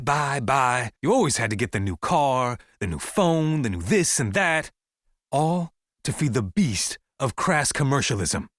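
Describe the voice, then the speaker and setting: An actor playing a paranoid, defiant American militia leader, middle-aged and folksy yet menacing, preaching in an emphatic dramatic radio monologue for a video game. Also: male voice, medium pitch, a bit bright, husky, no room sound, clean studio sound, exaggerated voice. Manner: preachy, emphatic, deliberate pauses. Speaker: militia leader broadcasting to survivors.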